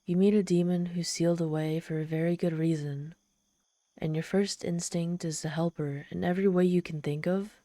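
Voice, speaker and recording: Ancient demon woman, played by a woman for ASMR audio drama: lowish, velvety dark voice, proud and theatrical with a vulnerable streak. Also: fairly even intonation, normal speed, dry recording, close to an everyday voice. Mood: earnest, eager. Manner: haughty, theatrical, softening into shy vulnerability. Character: ancient proud tsundere demon woman, lonely, haughty, teasing. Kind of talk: ASMR audio roleplay, addressing the listener.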